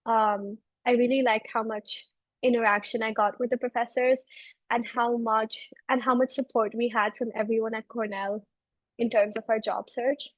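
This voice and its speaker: A young woman from Belgium speaks as a guest in an interview. Her voice is on the high side, and she explains things in a conversational way. The sound is very dry, with no room echo. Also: flat delivery, moderate pace. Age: 20s. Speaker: interview guest, research analyst.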